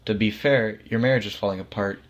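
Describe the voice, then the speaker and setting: A man's voice: fairly low pitch, brisk, lightly acted. A man voicing God in a story as a casual, reassuring authority figure who explains things patiently and matter-of-factly. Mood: calm, reassuring. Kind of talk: acted storybook dialogue.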